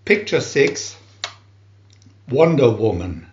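A man reading scripted children's narration like an announcer, with a deliberate, dramatic delivery. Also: mid-range voice, very animated. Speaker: booklet reader and channel host. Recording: roomy echo, dull lo-fi sound.

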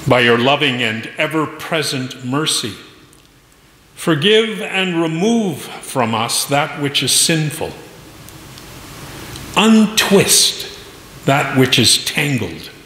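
A middle-aged man speaking as a minister in prayer and sermon, with solemn, deliberate phrasing and pauses. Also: a little high, very animated, sharp tone. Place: large church sanctuary, masked at pulpit. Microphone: pulpit microphone. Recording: echoey church hall, faint noise.

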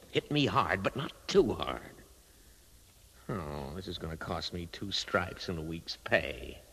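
An actor playing a gruff, curt guard who gives orders in a 1950s radio drama. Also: male voice, a bass voice, pushed and bright, exaggerated voice, rough recording. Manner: curt, bossy. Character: gruff male guard, secret underground conspirator.

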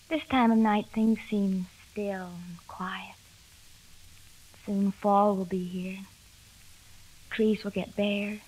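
A woman playing a gentle, wistful, lonesome young woman, soft-spoken and plaintive, in a 1940s radio drama. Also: medium pitch, low-quality recording.

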